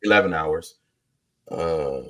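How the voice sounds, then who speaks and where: A man with a baritone voice, hoarse from a cold, chatting laid-back as a podcast co-host. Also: bright, forceful tone. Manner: laid-back, drawn-out "man" and "you know". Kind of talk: casual chat.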